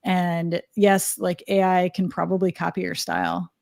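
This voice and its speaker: A woman guest on a live stream, talking fast with many fillers and restarts. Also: fairly low pitch, level tone, vocal fry, a little mellow. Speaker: guest from a web design company. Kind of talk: casual live-stream interview.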